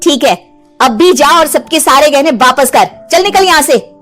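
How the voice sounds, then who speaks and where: High-voiced brave village heroine, played by a woman. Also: animated, moderate pace, soft background music. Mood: firm, threatening. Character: brave, clever village woman confronting a witch. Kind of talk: acted horror story dialogue.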